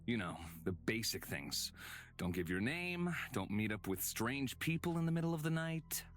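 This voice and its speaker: A man voicing a cryptic, aloof stranger in a visual novel, mocking and measured with an ominous edge. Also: medium pitch, sing-song, fairly bright tone. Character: cryptic, taunting male stranger, shrewd and aloof.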